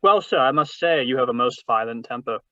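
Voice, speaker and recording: An actor playing a jovial, shrewd, long-winded crime boss in a radio drama, with florid formal speech, over a video call. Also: male voice, medium pitch, animated, bright, forceful tone, dry recording. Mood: shrewd, bargaining. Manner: florid, formal, deliberate, genial. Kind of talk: 1940s radio drama read-through. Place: video call read-through. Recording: dull, dry video-call audio.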